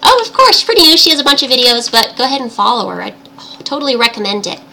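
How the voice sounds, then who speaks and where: A woman's voice: a little high, sing-song, very fast, gravelly, sharp tone. A woman with a tiny, girlish, cartoon-princess voice hosts a casual livestream chat in a cheerful, giggly, chatty way. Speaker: livestream host. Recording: livestream audio with background noise.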